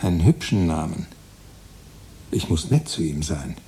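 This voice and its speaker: An actor in a German radio play voicing a brooding, musing storyteller who invents his character aloud with deliberate delivery. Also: very deep voice, animated, warm tone, a little hiss or hum, exaggerated voice.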